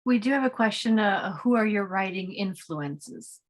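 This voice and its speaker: A woman's voice: medium pitch, animated, moderate pace. A woman moderating a poetry panel, relaying questions and thanking the poets graciously.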